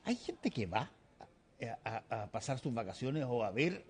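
A man interviewing a guest on TV, asking long, halting questions full of restarts. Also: mid-range voice, very animated, a little mellow, a little hiss or hum.

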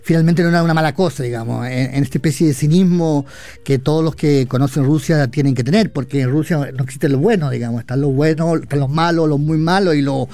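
A Chilean man and radio columnist talking in a casual chat, his speech rushed and halting with many restarts. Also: on the high side, mellow. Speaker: columnist guest. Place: radio studio.

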